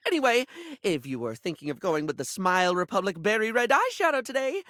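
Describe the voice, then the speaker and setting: A camp, gushing male video game character who lives for cosmetics. His pitch swoops dramatically through every phrase, recorded close and dry. He is effusive yet insecure, stumbling into apologies and self-deprecation. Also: fairly bright tone, no echo, exaggerated voice. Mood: gushing, bubbly. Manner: effusive, camp, halting and self-deprecating, calls listeners darling. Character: flamboyant, scatterbrained, apologetic male makeup object, gushing and insecure.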